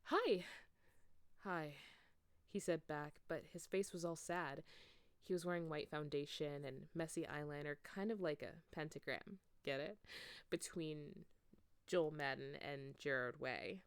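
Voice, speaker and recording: Woman, lowish voice, unhurried, theatrical read-aloud, amateur storyteller, close dry recording. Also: clean tone, no echo. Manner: theatrical, acts out dialogue. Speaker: fan fiction reader.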